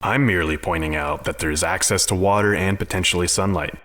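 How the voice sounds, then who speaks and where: A man's voice: deep voice, fast talker, fairly bright tone. A man playing an unflappable, bookish advisor who explains odd theories matter-of-factly in a sci-fi audio drama.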